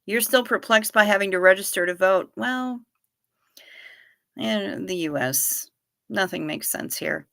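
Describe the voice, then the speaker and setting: A woman's voice: medium pitch, measured pace, creaky, a bit bright, no room sound, studio quality. A woman in her fifties hosting a livestream, chatting playfully and answering viewers by name. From Niagara Falls region. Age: 50s. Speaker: livestream host. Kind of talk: casual livestream chat reading viewer comments.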